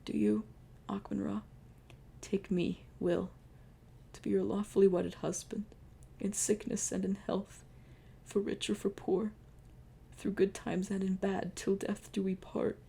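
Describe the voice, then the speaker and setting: A woman voicing a wounded young blond man, gentle and devoted, in a hushed, slow melodramatic delivery. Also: medium pitch, little pitch movement, creaky, breathy edge, voice pushed up. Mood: solemn.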